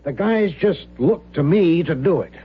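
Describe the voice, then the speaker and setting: A man's voice: fairly high pitch, animated, unhurried, breathy edge, soft background music, full character voice. An actor playing a determined, principled mechanic and family man in a radio drama, plain-spoken and firm. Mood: resolute, worried. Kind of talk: vintage radio drama acting. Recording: dull old radio recording with hum.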